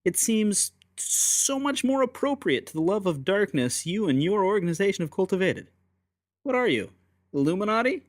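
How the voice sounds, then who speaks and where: A man's voice: high voice, very animated, normal speed, no echo, studio quality. A man playing a sardonic, unflappable English secret agent, teasing and theatrical, in an audiobook drama. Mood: mocking. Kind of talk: full-cast audiobook acting.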